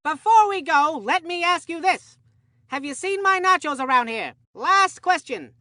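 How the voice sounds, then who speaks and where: An actor voicing an excitable, absent-minded kids' baseball game commentator who rambles in an earnest, folksy way. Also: very high voice, measured pace, no room sound, clean studio sound, full character voice. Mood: puzzled, earnest. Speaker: sports commentator. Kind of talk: cartoon video game sports commentary.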